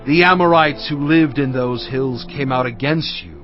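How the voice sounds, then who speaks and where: A man's voice: mid-range voice, normal speed, close to an everyday voice. A man playing an impassioned leader who recounts and admonishes, oratorical and solemn, in dramatized scripture. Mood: reproachful. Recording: dull audio with music underneath.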